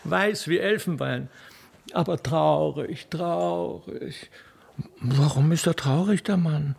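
Man acting breathy, whispering, mischievous little cupids. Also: a little high, slow pace, heavily acted. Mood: giddy, adoring. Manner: soft, breathy, giggly whispering. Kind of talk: theatrical radio play reading.

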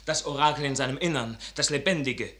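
An actor playing a passionate, idealistic young soldier son in a classical German radio drama, declaiming verse in an impassioned, swelling manner. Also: male voice, mid-range voice, fairly quick, bright, forceful tone, close to an everyday voice. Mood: fervent. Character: ardent idealistic young soldier, son at odds with his father.

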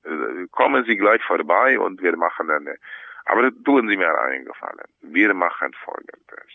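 Deep, forceful, exasperated man. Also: expressive, moderate pace, vocal fry, no room sound, rough recording. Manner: exasperated, agitated, emphatic. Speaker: sculptor and stonemason taking a phone call.

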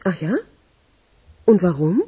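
Husky, muted young woman. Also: fairly low pitch, takes it slowly, dark tone, full character voice. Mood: guarded, curious. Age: young adult. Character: reserved, bookish young woman translator. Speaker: actor in a radio crime drama. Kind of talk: radio drama dialogue.

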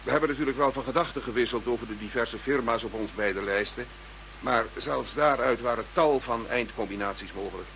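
A government minister in a radio play, formal and eloquent. His voice is bright and forceful at an average male pitch, pressing each point insistently. The recording carries slight noise. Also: fairly quick, vocal fry, low-quality recording. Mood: measured, explaining. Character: Dutch government minister protesting his innocence to detectives.

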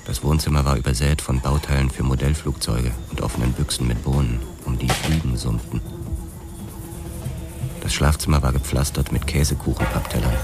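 An actor playing a weary, hard-boiled policeman who narrates his own past in a crime radio drama. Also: very deep voice. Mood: grim, restrained. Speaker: first-person narrator. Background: music.

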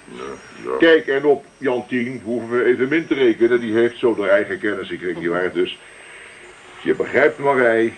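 A man's voice: mid-range voice, normal speed, natural voice. A man playing a jovial, sentimental middle-aged father and grandfather in a Dutch radio drama. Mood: earnest, sentimental. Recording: noisy old radio play recording.

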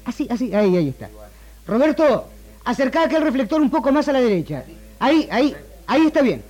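High-voiced, animated Argentine director. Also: male voice, quick pace, heavily acted. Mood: commanding. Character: bossy commercial film director, loyal worldly male friend. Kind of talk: 1960s Argentine radio drama acting. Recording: 1960s radio archive, music behind.

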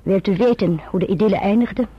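Actress for imperious, icily polite lady, radio play: bitter sarcasm, breathy-husky upper register, dull recording. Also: medium pitch, a little fast, lightly acted. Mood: sarcastic, commanding. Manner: sarcastic, commanding. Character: bitter, vindictive, imperious gentlewoman with icy politeness.

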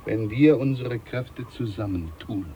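An actor playing a boastful, condescending older ship's doctor in a radio play. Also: male voice, medium pitch, a little slow, mellow, slight background noise, natural voice. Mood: pompous. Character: pompous older ship's doctor, self-important.

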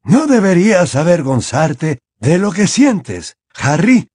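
A male narrator voicing a calm, wise, very old male headmaster who speaks slowly and serenely with a breathy voice. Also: on the high side, no echo, voice pushed up, full character voice. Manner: slow, serene, gently insistent. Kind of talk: audiobook character voice.

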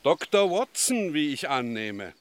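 An Austrian actor playing an officious, sarcastic police inspector in a radio drama, with emphatic delivery. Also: male voice, on the high side, big pitch swings, unhurried, over loud music. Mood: dry.

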